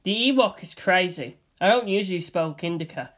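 A man's voice: high voice, lively intonation, moderate pace, a bit bright. A man voicing a prim, preachy male mushroom person who lectures in over-formal wording.

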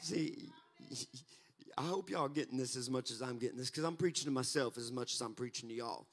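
A man, preaching a fervent sermon on a church stage into a handheld mic, building from talk to shouts. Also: mid-range voice, a little fast. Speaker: pastor. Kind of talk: energetic Pentecostal sermon. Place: church stage, mid-sized hall.

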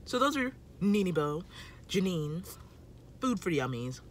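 A woman's voice: lowish voice, big pitch swings, a little slow, slight background noise. A woman in her thirties giving casual tips to camera from inside a parked car, emphatic and talking with her hands, filmed on a phone at arm's length. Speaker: food vlogger.

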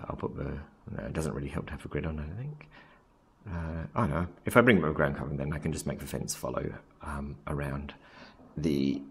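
A man casually narrating a software screen recording, thinking aloud with frequent ums and self-corrections. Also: a bass voice, husky. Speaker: software tutorial presenter.

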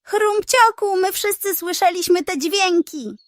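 A woman voicing an agitated, argumentative male fox in a children's story, loud and insistent. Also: very high voice, measured pace, dry recording, pitched above the performer's own voice. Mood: indignant alarm.

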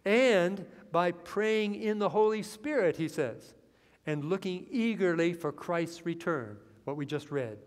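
A man in his fifties preaching a sermon from a church pulpit, earnest and rising into excitement as he reads scripture. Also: sits high, a little slow. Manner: earnest preaching that swells with excitement, lines read from the Bible. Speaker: pastor. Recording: long hall reverb in a church.

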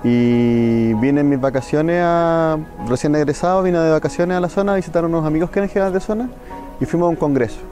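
Young Chilean male doctor, mellow tone, interview testimony over faint music. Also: fairly high pitch, a little fast. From Santiago, Chile. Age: young adult.

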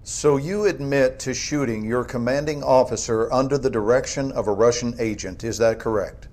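A man's voice: medium pitch, moderate pace, darkish tone, lightly acted. Man playing a hard, dismissive American interrogator. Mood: accusing, stern. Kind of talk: dramatic game cutscene acting.

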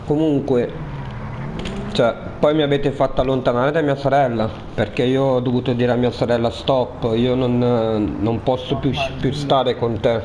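A man's voice: medium pitch, fairly even intonation, unhurried, a little mellow, low-quality recording. A man arguing casually in an online roleplay game. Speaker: player in a GTA roleplay session. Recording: faint background noise.

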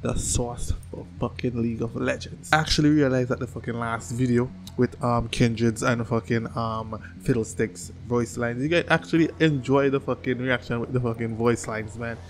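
A man in his thirties hosting a casual reaction video, talking in an animated, slangy way with constant swearing and repeated words. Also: medium pitch, normal speed, hardly any pauses, soft background music. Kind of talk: casual excited reaction commentary. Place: small furnished room at home. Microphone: close desk microphone on boom arm.

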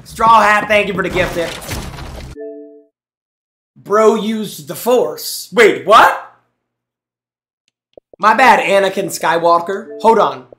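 A man's voice: high-pitched, lively intonation, slow, pauses often, pushed and bright, echoey room, soft background music. A young man streaming on a headset mic in a small room, reacting to gameplay clips with teasing jokes and thanking his chat. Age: young adult. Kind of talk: casual live-stream commentary.

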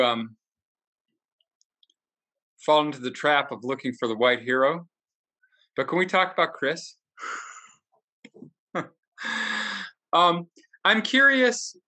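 Guy on a video call, bright sharp voice, hesitant, restarts a lot while asking the group. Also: a little high. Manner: hesitant, searching, restarts often. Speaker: discussion participant. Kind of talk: casual discussion. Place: room with shoji screen, indoors.

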